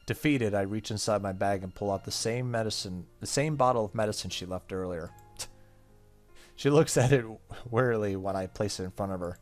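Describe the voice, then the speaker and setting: A man's voice: on the low side, fairly quick, soft background music. A man hosting a gaming video, reading visual novel narration aloud and now and then stumbling and rereading. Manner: stumbles over text and rereads. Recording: faint background noise.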